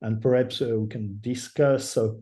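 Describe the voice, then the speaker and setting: Older French-accented guest, mellow tone, halting and self-correcting delivery. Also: male voice, mid-range voice, fairly even intonation. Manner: halting, restarts phrases, laughs often. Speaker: guest artist. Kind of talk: casual interview over a call.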